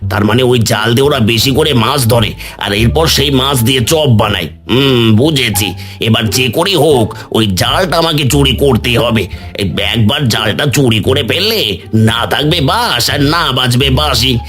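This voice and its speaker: A man's voice: mid-range voice, expressive, normal speed, a bit bright, soft background music, natural voice. Scheming village villain voiced by a man. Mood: gloating, scheming. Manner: gloating, repeats words, villainous laughs. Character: scheming, spiteful village snack-seller, cowardly when caught. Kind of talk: cartoon story voice acting.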